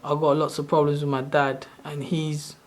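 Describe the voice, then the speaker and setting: A soft-spoken man in his thirties, originally from Sri Lanka, casually walking through his own screen in a loose, rambling way. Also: on the high side, little pitch movement, normal speed. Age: 30s. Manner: rambling, loose and self-correcting. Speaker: channel host. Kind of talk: casual screen-share walkthrough.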